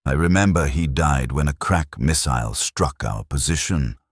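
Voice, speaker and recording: A man voicing a battle-worn, fatalistic heavy gunner in a sci-fi war story, precise and deliberate. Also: rumbling low, animated, slightly rough, no room sound, clean studio sound, full character voice. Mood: detached, grim. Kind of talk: character dialogue in military sci-fi story narration.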